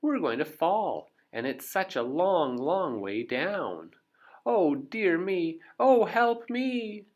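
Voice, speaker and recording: A timid, well-mannered cartoon storybook hero performed by a man. The voice sits high and swings widely. He is easily panicked and exclaims his worries. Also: very animated, slow pace, darkish tone, dull audio, full character voice.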